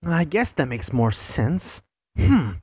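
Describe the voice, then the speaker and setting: A performer voicing a cocky, teasing male hedgehog hero with a husky voice in a fan audio drama. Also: mid-range voice, sing-song, takes it slowly, darkish tone, no echo, studio quality, exaggerated voice. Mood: curious. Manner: brash and teasing.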